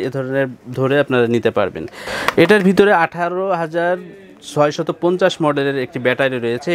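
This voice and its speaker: A Bangladeshi man with a Bengali accent, a shop salesman giving a chatty product demonstration in an electronics shop. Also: on the high side.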